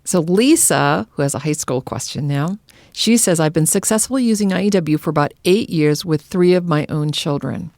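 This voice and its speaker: Female podcast co-host, slightly low voice, affirming and conversational, recorded dry. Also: animated, moderate pace, a little mellow, dry recording. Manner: affirming, interjects short agreements. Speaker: podcast co-host reading listener questions. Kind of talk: conversational hosting.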